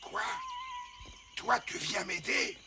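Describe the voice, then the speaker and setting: A man voicing a proud, blustering rhinoceros with a gravelly voice in a French cartoon. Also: takes it slowly, close to an everyday voice. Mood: suspicious. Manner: blustering, grumpy. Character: gruff, proud, blustering adult male rhinoceros. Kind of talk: French-dubbed cartoon acting. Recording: loud music behind the voice.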